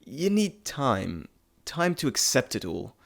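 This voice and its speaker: A man voicing a playful, fond male messenger god in an intimate audio roleplay, chatty and teasing with theatrical pauses. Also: mid-range voice, very animated, gravelly, fairly bright tone, full character voice. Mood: calm, reassuring.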